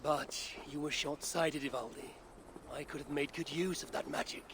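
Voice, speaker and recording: An actor playing a terse, imperious Norse god in a video game, solemn and vengeful. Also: male voice, fairly high pitch, steady tone, moderate pace, background noise, natural voice. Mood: cool, demanding. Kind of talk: cinematic video game acting.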